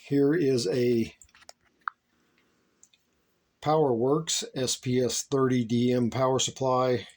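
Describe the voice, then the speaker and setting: Male hobbyist vlogger from the US, mid-pitched, folksy and unscripted, rambles a little. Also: fairly even intonation, takes it slowly, long pauses, a little mellow. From United States. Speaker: shortwave radio hobbyist giving a tour. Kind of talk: casual unscripted vlog narration.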